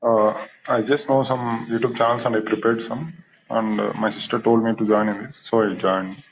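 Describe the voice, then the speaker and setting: A man who works in IT gives a short, halting self-introduction on a video call. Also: mid-range voice, fairly even intonation. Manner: plain, brief, halting. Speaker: IT worker, student. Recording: noisy, muffled call line.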